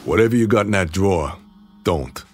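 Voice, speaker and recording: A man's voice: sits low, animated, moderate pace, over music. A man acting a sardonic, menacing tough guy bent on revenge in a radio drama, terse and mocking. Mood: mocking menace. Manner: terse, mocking, hard-boiled.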